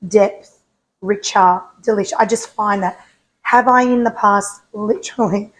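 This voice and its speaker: A woman with a croaky voice hosting a home cooking show, chatting casually and answering her own questions as she cooks. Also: mid-range voice, poor audio. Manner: chatty, asks herself questions and answers them.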